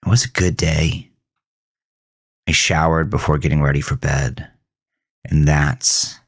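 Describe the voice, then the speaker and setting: A man playing a grieving, haunted farmer who narrates a horror audio drama in a brooding, deliberate, theatrical way. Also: very deep voice, no room sound, clean studio sound. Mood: reflective, uneasy. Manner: brooding, deliberate, theatrical, dropping at times into breathy near-whisper. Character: grieving, haunted farmer telling his supernatural ordeal.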